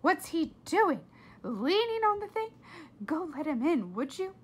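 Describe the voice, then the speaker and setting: A woman's voice: extremely high pitch, big pitch swings, unhurried, pitched above the performer's own voice, full character voice. A woman voicing an exasperated, bossy mother of a young girl in a children's book read-aloud. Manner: emphatic, bossy.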